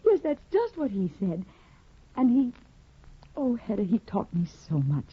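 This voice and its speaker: A woman's voice: mid-range voice, very animated, a little slow, husky, exaggerated voice. A woman playing a timid, devoted young woman in a 1950s radio drama, earnest and anxious. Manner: earnest and anxious, soft-spoken. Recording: tinny old radio broadcast.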